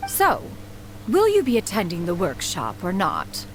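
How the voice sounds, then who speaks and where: An actress playing a proud, aloof elder inventor in a video game, speaking in a formal, lofty, archaic manner. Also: female voice, mid-range voice, huge pitch swings, a little slow, over music. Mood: brisk, expectant. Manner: formal, haughty, archaic speech calling herself "one".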